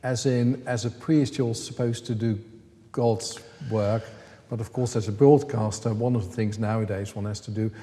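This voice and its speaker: A man's voice: on the low side, steady tone, normal speed, warm tone. A male interviewer asking long, searching questions with frequent hesitations. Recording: long reverb of a hall.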